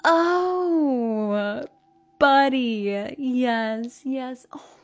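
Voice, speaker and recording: A woman voicing an eerie, sugary middle-aged mother with a menacing edge, speaking slowly in a sing-song way. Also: high-pitched, clear, resonant tone, voice pushed up. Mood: eerie sweet.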